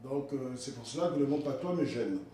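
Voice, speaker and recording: Congolese guy explaining things calmly but firmly, faint hiss behind, sounds far from the mic. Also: medium pitch. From Republic of the Congo. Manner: measured, corrective, gaining force when arguing. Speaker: guest, historiographer and writer. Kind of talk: interview answers. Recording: echoey room, mic far off, faint noise.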